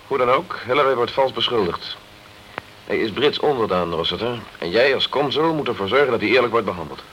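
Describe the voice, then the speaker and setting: A man's voice: medium pitch, animated, fairly quick, a bit bright, a little hiss or hum, lightly acted, low-quality recording. An actor playing a determined, blunt British man in a crime radio play, speaking tersely, earnestly and insistently. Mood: urgent. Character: determined, blunt British man fighting to clear an accused friend.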